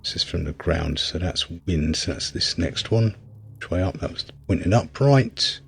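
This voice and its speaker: A man's voice: deep voice, lively intonation, normal speed, vocal fry. A man giving casual gameplay commentary as a reviewer, often hesitant and thinking aloud. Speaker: video game reviewer.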